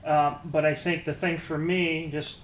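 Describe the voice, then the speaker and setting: A middle-aged man with a slightly high voice conducts a casual interview. He talks in a halting, chatty way in an echoey room, with some noise behind him. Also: little pitch movement. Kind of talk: casual chat. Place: small indoor listening room, seated. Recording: roomy echo, clear background noise.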